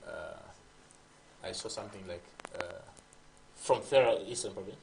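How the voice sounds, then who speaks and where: Low-voiced male show host. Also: normal speed, creaky, a little hiss or hum, poor audio. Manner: encouraging, brief affirmations. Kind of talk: casual interview chat.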